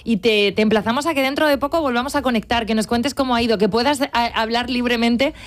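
A woman's voice: on the high side, fast talker. Female radio host, hesitant with lots of fillers. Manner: warm hesitant, many fillers. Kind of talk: interviewing on a radio show.

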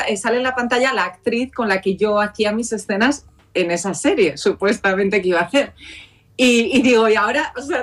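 A woman, an actress telling an animated anecdote in an interview. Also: fairly high pitch. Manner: lively anecdotal, acts out quoted dialogue. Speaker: actress guest. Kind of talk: storytelling in interview.

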